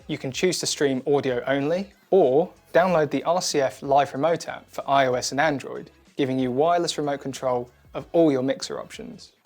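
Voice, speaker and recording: A man presenting a scripted product demo in a clear, methodical, instructional manner. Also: mid-range voice. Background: background music.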